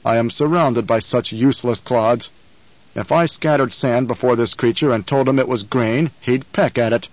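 Brief: a man voicing a scheming tyrant baron, slow, deliberate, loud menace. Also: no echo, close to an everyday voice. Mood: scornful. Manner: slow, rumbling, roaring commands. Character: menacing obese older male baron, cruel and scheming. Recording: dull, dry studio recording.

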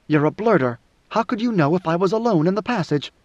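A man's voice: lively intonation, a little mellow. Man voicing a terse, muscular woman guard. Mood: scornful. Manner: deliberate, terse, low-voiced. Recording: roomy, slightly muffled recording.

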